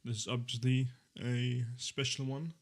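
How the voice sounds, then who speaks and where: A young man in his twenties, chatting casually on a live stream in a voice of ordinary, middle pitch. He rambles easily, fills gaps with ums, and corrects himself mid-sentence as he goes. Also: level tone, a little slow. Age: 20s. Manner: rambling, easygoing, with many fillers and self-corrections.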